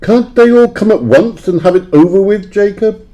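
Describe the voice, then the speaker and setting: A man playing a grumpy, frightened old miser in an audio play, theatrical and shifting between gruff scorn and pleading. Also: sits high, animated, normal speed, dark tone. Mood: reluctant, grumbling. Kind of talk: library audio play acting.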